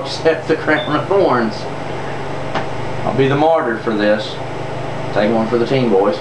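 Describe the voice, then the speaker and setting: A man with a Southern US accent delivering an off-the-cuff political monologue in a sarcastic, emphatic way, repeating phrases for effect. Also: a little high. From southern United States. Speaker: political vlogger. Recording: roomy, distant mic, noticeable air-conditioner-like noise.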